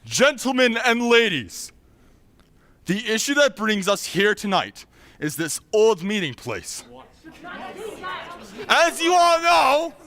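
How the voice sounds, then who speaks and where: A man's voice: high voice, a bit bright, heavily acted. A man playing an earnest, authoritative town meeting leader in a radio play, emphatic and formal. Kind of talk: old-time radio drama acting.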